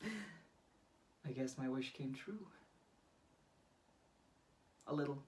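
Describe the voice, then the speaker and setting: An actor playing a young lover confessing aching love in a halting, earnest, intimate monologue. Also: medium pitch, close to an everyday voice. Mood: bitter, pained. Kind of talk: naturalistic monologue acting. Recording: distant microphone.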